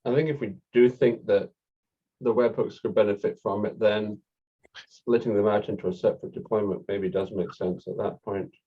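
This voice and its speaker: A man's voice: fairly low pitch, steady tone, normal speed, breathy edge. A man talking casually through technical points with colleagues at work. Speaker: software engineer in a team meeting. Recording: dull, muffled call audio.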